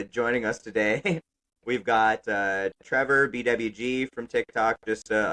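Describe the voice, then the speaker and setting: A man's voice: medium pitch, a bit bright, rough recording. A man hosting a casual political podcast, sarcastic and profane, laughing between remarks. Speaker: podcast host.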